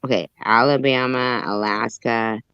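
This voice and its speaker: A man's voice: medium pitch, steady tone, unhurried, sharp tone, clean tone, no room sound, highs cut off. A man in casual chat who argues each point and spells out letters to prove it.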